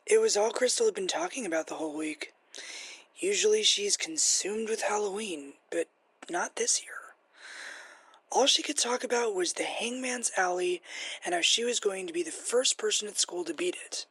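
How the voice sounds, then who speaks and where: An actress playing a subdued teenage girl in an interview, with a husky, breathy voice. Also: mid-range voice, moderate pace, fairly bright tone, no echo, low-quality recording. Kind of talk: interview in an audio drama.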